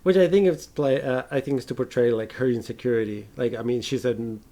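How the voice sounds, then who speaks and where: Male podcast host, creaky gravelly voice, mid pitch, halting casual film chat, informal and sweary. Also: dark tone.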